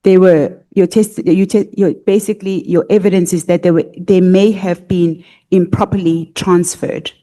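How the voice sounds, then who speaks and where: Measured woman questioning a witness. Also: fairly low pitch, steady tone, warm tone, low-quality recording. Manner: measured, methodical, with frequent fillers. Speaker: evidence leader questioning a witness. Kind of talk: formal questioning at a hearing. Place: parliamentary committee room.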